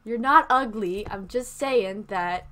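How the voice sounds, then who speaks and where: A woman's voice: mid-range voice, slow, a bit bright. A woman playing a patient but exasperated medic in an improvised zombie roleplay game, talking hesitantly and placatingly. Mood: flustered reassuring.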